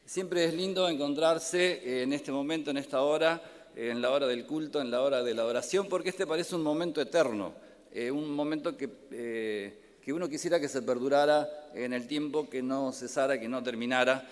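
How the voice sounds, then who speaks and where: A man from Córdoba, Argentina, with a Córdoba accent, preaching a sermon as a pastor in a gentle way, pausing often on "eh". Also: mid-range voice. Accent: Argentine Spanish, Córdoba. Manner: gentle, warm-hearted, many filler "eh" pauses. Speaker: pastor and Bible professor. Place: large church hall. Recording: long hall reverb.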